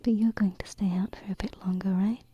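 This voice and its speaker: A woman playing a gentle, affectionate alien princess in an ASMR roleplay, whispering softly and tenderly into a close binaural mic with unhurried pauses. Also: mid-range voice, steady tone, lo-fi sound, slight background noise, natural voice. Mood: tender, encouraging. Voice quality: soft, breathy whisper. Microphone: close binaural microphone.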